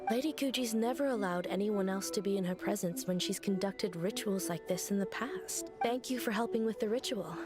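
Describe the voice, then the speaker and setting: A woman's voice: medium pitch, fairly quick, few pauses, over music, close to an everyday voice. A woman voicing a polite, earnest young shrine maiden in a video game. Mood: grateful. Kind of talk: game dialogue.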